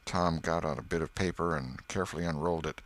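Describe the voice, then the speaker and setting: A man's voice: rumbling low, steady tone, fast, a bit bright. A man reading a classic story as scripted audiobook narration.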